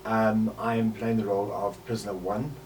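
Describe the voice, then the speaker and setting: Man in his forties, South African accent, low pitch, halting interview-style talk, repeated words, faint noise. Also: flat delivery, darkish tone. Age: 40s. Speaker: podcaster and voice actor. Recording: distant mic with faint background noise.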